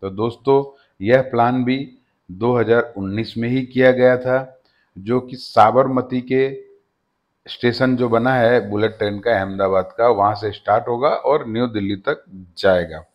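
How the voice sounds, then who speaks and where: A man hosting his own channel, giving a steady informational voice-over that often addresses viewers as friends. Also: medium pitch, a little slow, muffled recording. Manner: steady explanatory delivery with frequent "friends" address. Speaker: channel host. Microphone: voice-over over slides.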